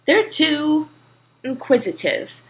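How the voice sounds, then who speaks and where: A woman's voice: high voice, big pitch swings, slow pace, pitched above the performer's own voice, heavily acted. A woman voicing a fussy female skunk in a storybook, prim and critical, complaining about the neighbors. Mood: huffy.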